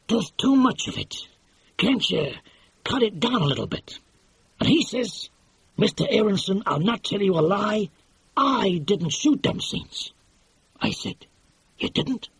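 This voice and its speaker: A man's voice: high voice, creaky, husky, no echo, voice pushed up, exaggerated voice. A man reading an audiobook and voicing a brash, pushy middle-aged movie mogul who talks in slang. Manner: slangy, pushy, exclamatory, keeps saying "see". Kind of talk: audiobook character voice.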